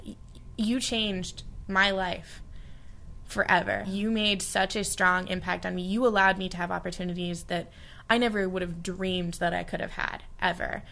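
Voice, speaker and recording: A woman's voice: fairly low pitch, fairly even intonation, moderate pace, gravelly, pushed and bright. A young woman from the United States, a study abroad alumna, giving earnest, heartfelt reflections in an interview, with frequent fillers. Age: young adult.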